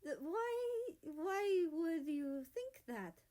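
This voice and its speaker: A woman's voice: very high voice, sing-song, extremely slow, warm tone, pitched above the performer's own voice, heavily acted. A woman voicing a shy, easily flustered young man who stammers and hesitates.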